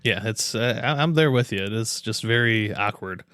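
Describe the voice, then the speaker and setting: A man's voice: on the low side, normal speed, fairly bright tone, clear, resonant tone, no room sound. Laid-back American man in dry-sounding chat. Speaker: podcast co-host. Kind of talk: casual chat.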